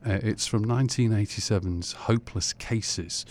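A male radio host with a very low voice introduces tracks in a loose, rambling way, stopping often to search for words. A faint hiss of ambient noise sits under his voice. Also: a little mellow. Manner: rambling, halting, many fillers. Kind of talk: casual music introductions.

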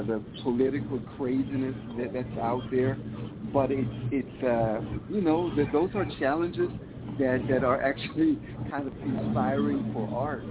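A man from Switzerland, a musician answering interview questions in a reflective, hesitant way and often repeating words. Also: medium pitch. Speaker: musician guest. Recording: tinny phone line with noise.

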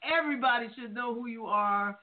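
A woman hosting a casual radio talk show, gushing and excitable as she introduces and praises her guest. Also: fairly high pitch, a little fast. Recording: tinny phone-like audio.

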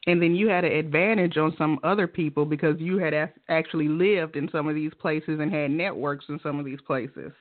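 Encouraging woman interviewer, lowish voice, tinny phone-line quality. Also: steady tone, brisk, hardly any pauses, no echo. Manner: encouraging, short affirmations. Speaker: podcast host. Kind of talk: interviewer asking questions.

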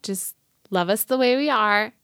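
A woman's voice: medium pitch, a little slow, fairly bright tone, clear, resonant tone, no room sound, clean studio sound. An American woman hosting a casual chat in a playful, frank way. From United States. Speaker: host, sexuality educator.

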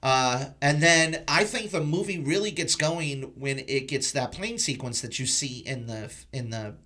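A man's voice: medium pitch, unhurried, bright, forceful tone. A man hosting a podcast in a casual movie review chat, emphatic and repeating phrases for stress. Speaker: podcast host.

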